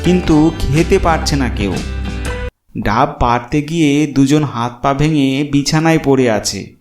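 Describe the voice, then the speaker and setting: A male storyteller reads a scripted horror cartoon tale. His pitch is average for a man. The voice sits in a roomy echo with music clearly playing behind it. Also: measured pace. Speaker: storyteller narrator. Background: background music.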